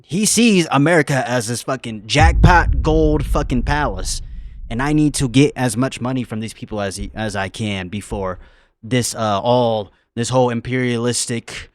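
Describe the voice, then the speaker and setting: Rambling, slangy young man reacting. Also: mid-range voice. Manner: rambling, slangy, many fillers. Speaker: reaction streamer. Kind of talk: casual reaction commentary.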